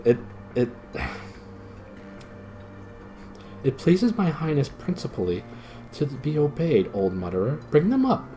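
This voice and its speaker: Man voicing a young knight prince, high voice, lofty, imperious, storybook. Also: very animated, normal speed, mellow, faint music behind. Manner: commanding, lofty old-fashioned speech. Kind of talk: storybook reading.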